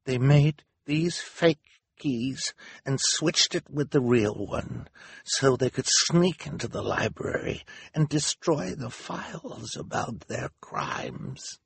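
A man voicing an angry old volunteer with poor eyesight, speaking slowly and accusingly in a storybook reading. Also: mid-range voice, sing-song, creaky, slightly rough, no room sound, pitched above the performer's own voice, full character voice. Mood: weary accusation.

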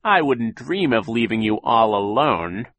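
A scheming villain captain, as a man reading a storybook puts him on, drawing out his words slowly and sharply. The voice is lifted above a low, gravelly base and carries a dark, menacing edge. The recording is close and dry. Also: very animated, no echo, full character voice. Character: sinister scheming male villain sea captain.

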